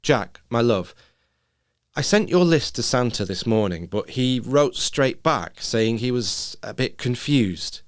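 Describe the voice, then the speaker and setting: A man voicing a troubled, gentle woman, an anxious mother who speaks softly and hesitantly, in a story reading. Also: medium pitch, lively intonation, slow, no echo, studio quality. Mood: gently coaxing.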